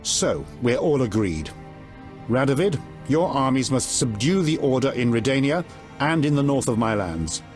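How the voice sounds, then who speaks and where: Game monarch: male actor as earthy, authoritative middle-aged king, gruff and profane, animated delivery over faint music. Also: medium pitch, moderate pace, natural voice. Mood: commanding. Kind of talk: video game acting.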